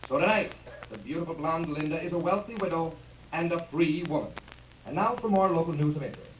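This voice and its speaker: An actor playing a formal, declamatory newsreader in a 1930s radio drama. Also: male voice, a little high, brisk, close to an everyday voice. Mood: formal, dramatic. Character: male radio newsreader reporting local scandal. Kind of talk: 1930s radio news bulletin acting. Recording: distant, echoey, hissy old radio recording.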